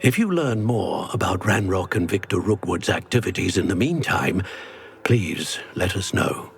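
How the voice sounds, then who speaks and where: Gravelly, solemn old wizard mentor. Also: male voice, deep voice, lively intonation, full character voice. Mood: formal, advising. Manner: formal, deliberate. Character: solemn elderly male wizard mentor, cautious and formal. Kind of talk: video game cutscene acting.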